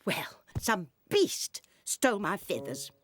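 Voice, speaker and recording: A woman voicing a plucky, self-conscious female ostrich in a children's animated cartoon. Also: mid-range voice, slow pace, no echo, heavily acted. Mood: sheepish. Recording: music underneath.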